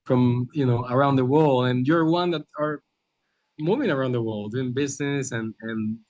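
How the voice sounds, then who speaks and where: A man's voice: mid-range voice, normal speed, a little mellow, no room sound. Easygoing male webinar host, muffled audio. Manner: easygoing, loose phrasing. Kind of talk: moderating a business webinar. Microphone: video call. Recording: dull, muffled video call audio.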